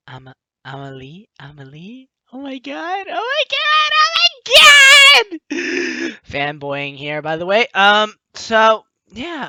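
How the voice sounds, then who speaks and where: An excitable, playful let's play host who stammers and interrupts their own reading of game text. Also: mid-range voice, dry recording, lo-fi sound. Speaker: gaming video host.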